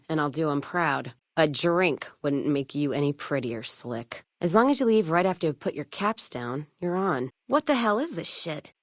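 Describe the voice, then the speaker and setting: Low-voiced, sardonic American wasteland drifter. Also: female voice, no room sound, studio quality. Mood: scornful, teasing, then irritated. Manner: gruff, sardonic, folksy drawling slang. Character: hard-drinking, foul-mouthed, jaded wasteland caravan woman. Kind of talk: video game companion voice lines.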